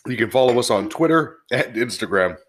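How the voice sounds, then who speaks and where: A man's voice: mid-range voice, animated, fairly quick, noisy background. A man co-hosting a podcast in casual group chat, with crude, teasing banter. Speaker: podcast co-host.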